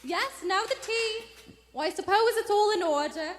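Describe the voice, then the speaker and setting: Very high-voiced, fussy landlady. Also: moderate pace, faint music behind. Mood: brisk, bossy. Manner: fussy, flustered, eager to please. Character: anxious, deferential landlady, bossy housewife to her husband. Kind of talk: live radio play acting. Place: theatre stage before a live audience.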